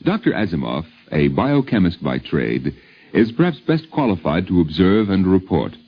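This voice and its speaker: Deep voiced american guy hosting old 50s radio, wry and polished, swoopy delivery, muffled sound. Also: very animated, normal speed, breathy edge. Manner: polished, wry, theatrical phrasing. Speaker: documentary host and narrator. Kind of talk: scripted 1950s radio narration. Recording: muffled 1950s radio broadcast.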